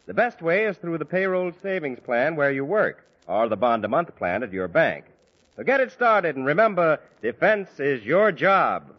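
A male radio announcer reading an upbeat ad in 1940s broadcast style, on an old recording. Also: muffled recording. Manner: upbeat, emphatic pitch.

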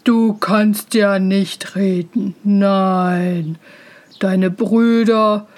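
A woman voicing a gruff, dismissive father of grown sons in a storybook fairy tale. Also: medium pitch, fairly even intonation, a little mellow. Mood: scornful. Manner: dismissive, drawn-out.

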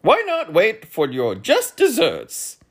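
A man's voice: sits high, huge pitch swings, takes it slowly, husky, pitched above the performer's own voice, full character voice. A man voicing fussy, nagging storybook noblemen in an exclamatory manner. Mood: eager, offering.

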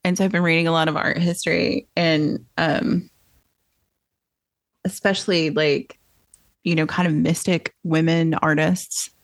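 Woman podcast host, chatty and rambling, lowish with vocal fry, dry sound. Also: flat delivery, unhurried, pauses often, dry recording. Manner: chatty, rambling, many "like"s and restarts. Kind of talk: casual interview chat.

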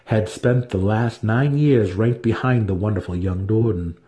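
A man's voice: on the low side, unhurried, dark tone. A man narrating a fantasy novel as a dramatic audiobook reading.

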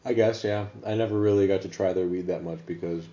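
A man's voice: sits low, steady tone, fast talker, warm tone. Laid-back man in his thirties, roomy lo-fi. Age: 30s. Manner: laid-back, joking, laughs often. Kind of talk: casual chat. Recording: roomy, dull lo-fi sound with faint background noise.

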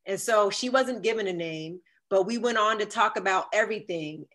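A woman in her fifties with a deep, sultry voice, telling a personal story as a podcast guest and acting out the quoted dialogue. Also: moderate pace. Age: 50s. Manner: animated, acts out quoted dialogue. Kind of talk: storytelling in a conversational interview.

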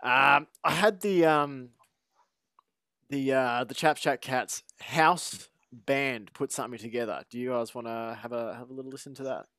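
A man's voice: mid-range voice, moderate pace, bright, forceful tone, no echo, clean studio sound. A man with an Australian accent hosting a casual sports fan podcast, speaking in a wordy, mock-formal way as quizmaster.

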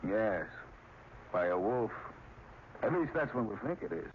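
An actor playing a weary, plain-spoken small-town sheriff in an old radio drama, with a folksy, hesitant manner. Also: male voice, fairly low pitch, sing-song, unhurried. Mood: grave. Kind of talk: 1940s radio drama acting. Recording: dull, hissy old radio recording.

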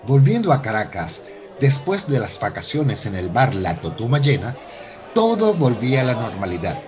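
Animated male radio soap narrator. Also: mid-range voice, moderate pace. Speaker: host and narrator. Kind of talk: scripted radio drama narration.

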